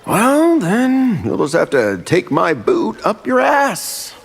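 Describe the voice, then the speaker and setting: An actor playing a smug, threatening superpowered villain in a video game. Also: male voice, high-pitched, huge pitch swings, slow, creaky, noisy background, full character voice. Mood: smug menace.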